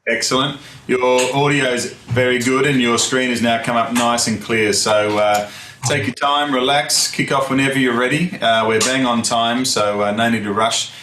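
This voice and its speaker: A man's voice: mid-range voice, fairly even intonation, normal speed, pushed and bright. Guy moderating an online session, says uh a lot, echoey call with a bit of hiss. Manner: friendly, many "uh" fillers. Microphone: video call. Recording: roomy call with faint noise.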